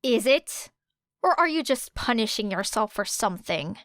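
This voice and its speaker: A woman voicing a fierce, blunt teenage girl with a sharp, growling delivery in an audiobook. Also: a little high, big pitch swings, normal speed, dry recording, clean studio sound. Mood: exasperated.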